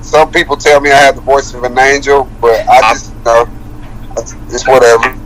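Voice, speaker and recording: A man guesting on an interview, cracking dry, deadpan jokes. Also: mid-range voice, moderate pace, heavy background noise. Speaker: rapper guest. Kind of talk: casual interview banter.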